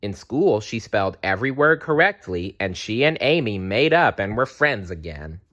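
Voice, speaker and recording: A man narrating a children's story aloud in an expressive storytelling style. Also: fairly low pitch, moderate pace.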